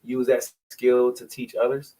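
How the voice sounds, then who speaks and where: A man's voice: medium pitch, monotone, a little slow, warm tone, poor audio. A man presenting in a bible study, explaining points from a shared screen and sounding out syllables, often repeating himself.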